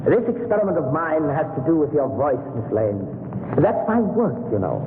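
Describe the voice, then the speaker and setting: Gloating mad scientist, tinny echoey radio. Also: male voice, fairly high pitch, sing-song, normal speed. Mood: gloating menace. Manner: gloating, mock-courteous, theatrical. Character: mad scientist, misanthropic villain gloating over captive. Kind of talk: 1930s radio thriller acting. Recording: echoey, noisy, tinny 1930s radio recording.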